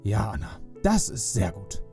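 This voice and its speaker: A jolly old Father Christmas acted by a man speaks with fatherly kindness in a children's audio play. His voice is warm and mellow and swings widely in pitch, with soft music underneath. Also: big pitch swings, measured pace. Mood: gently solemn.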